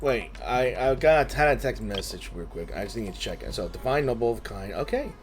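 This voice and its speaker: A man's voice: medium pitch, lively intonation, moderate pace, faint music behind. A young man giving casual playthrough commentary as a gaming host, reacting aloud in loose, conversational phrases.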